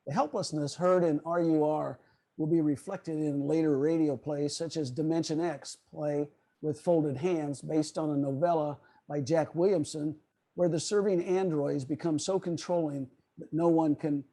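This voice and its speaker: Mellow, fairly high-voiced male lecturer. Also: fairly even intonation, normal speed. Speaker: presenter at a club meeting. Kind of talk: prepared lecture on radio history. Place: online video call.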